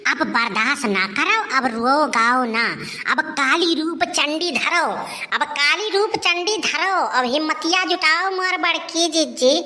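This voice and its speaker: A shrill, sharp-edged village woman from a comedy cartoon, speaking with an Awadhi accent. Her voice sits extremely high, and she carries on melodramatically like a wronged, scolding wife. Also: measured pace. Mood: goading. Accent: Awadhi, eastern Uttar Pradesh. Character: loud village women, wailing and scolding over a cheating husband.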